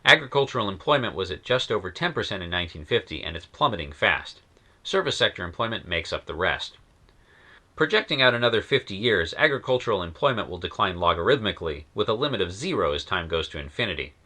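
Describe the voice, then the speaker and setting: A man's voice: deep voice, sing-song, fast, pushed and bright. A man narrating a scripted review of old science fiction stories, wry and enthusiastic with sardonic asides. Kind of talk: scripted narration in a period persona.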